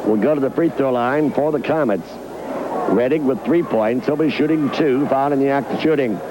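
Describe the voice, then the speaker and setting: A man's voice: medium pitch, normal speed, background noise. A man doing live radio play-by-play of a basketball game in clipped, telegraphic phrases, on a local 1990s broadcast. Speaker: radio play-by-play sports announcer. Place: indoor gymnasium fieldhouse.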